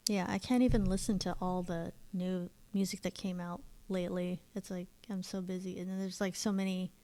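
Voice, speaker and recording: A woman's voice: fairly low pitch, flat delivery, fairly quick, mellow, poor audio. A woman co-hosting a casual podcast chat, agreeable, chiming in with short replies and often saying "like". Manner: agreeable, chimes in with short replies and laughs, says "like" a lot. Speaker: podcast co-host.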